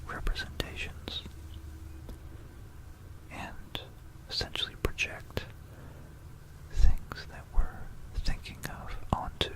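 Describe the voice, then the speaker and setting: An ASMR presenter narrating history in a hushed, unhurried whisper, repeating words and pausing mid-phrase, close to a stereo microphone. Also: low-quality recording. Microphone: close stereo microphone for binaural ASMR.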